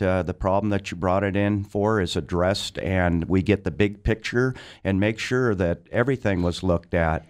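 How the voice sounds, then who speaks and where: A man's voice: fairly low pitch, clean tone. A middle-aged man, a mechanic, giving explanatory answers as a guest in a radio interview.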